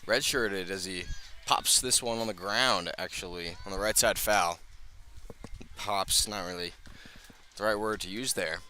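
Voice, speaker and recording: A man's voice: low-pitched, measured pace, pushed and bright, a little hiss or hum. A man calling a baseball game live on the radio as the play-by-play announcer. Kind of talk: live sports commentary. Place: outdoor ballpark broadcast position.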